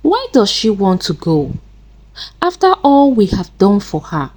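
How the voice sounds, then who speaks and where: A woman's voice: mid-range voice, very animated, measured pace, darkish tone. A woman voicing a puzzled, resigned husband in a folktale, questioning and matter-of-fact. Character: puzzled husband, a generous but resigned man.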